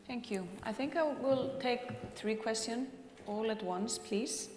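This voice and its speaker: A woman moderating a panel, light and quick to laugh. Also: fairly high pitch, darkish tone, slight background noise. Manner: light, laughing, brisk with turns. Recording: echoey reverberant hall.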